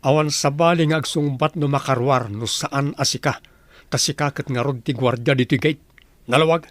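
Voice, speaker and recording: A man's voice: medium pitch, moderate pace, a bit bright, lightly acted. A man voicing a wealthy, domineering master who gives stern commands in a radio drama.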